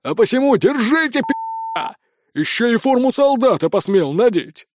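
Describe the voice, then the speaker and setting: A man's voice: sits high, animated, normal speed, warm tone, dry recording, clean studio sound. Man voicing a spiteful village elder, raised and accusing. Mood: angry. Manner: accusing, rabble-rousing. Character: suspicious, spiteful male village elder rousing a mob.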